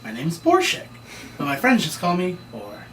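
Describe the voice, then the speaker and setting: Woman voicing a friendly low talking cat, far off. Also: wildly swooping pitch, moderate pace. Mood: friendly, relieved. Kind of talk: table-read drama. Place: room at a script table read. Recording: distant mic, faint background noise.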